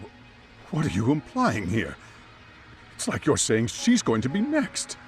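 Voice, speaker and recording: A man's voice: medium pitch, wildly swooping pitch, unhurried, faint music behind. An actor playing a wary, proper chairman in an English game dub, hesitant, cautious and defensive. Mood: defensive, alarmed. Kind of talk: dramatic game cutscene dialogue.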